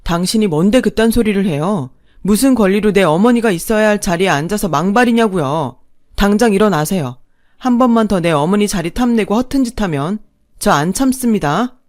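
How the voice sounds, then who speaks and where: A woman's voice: medium pitch, expressive, normal speed, dry recording, studio quality, close to an everyday voice. A woman voicing a protective young husband and son, stern and demanding, in a dramatised family story. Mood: indignant. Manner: forceful, demanding.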